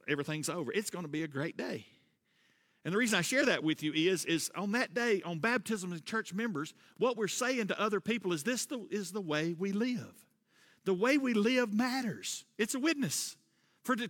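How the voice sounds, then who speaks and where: A middle-aged pastor preaches in a high, bright voice that climbs into loud, hammering refrains. His sermon rings out in a church hall with a long, lingering reverb. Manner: preaching with emphatic rises, loud repeated refrains. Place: church sanctuary.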